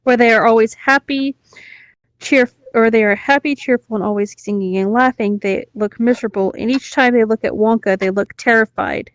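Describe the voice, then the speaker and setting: A woman with a somewhat high voice reads a story aloud as a podcast co-host. She drops in casual reactions as she goes. The sound is close and dry, a little dulled. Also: normal speed, dry recording, dull audio.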